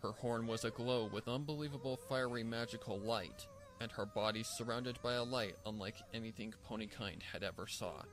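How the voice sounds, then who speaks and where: A man narrating a dramatic scripted fan fiction story in a measured, theatrical way. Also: medium pitch. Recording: music bed behind the voice. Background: background music.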